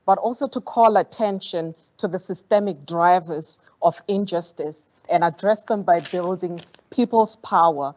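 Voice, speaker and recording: A woman whose first language is Setswana, giving a measured, formal conference speech as a foundation program director. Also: fairly low pitch, a little mellow, highs cut off. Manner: measured, formal, with occasional stumbles. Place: conference hall.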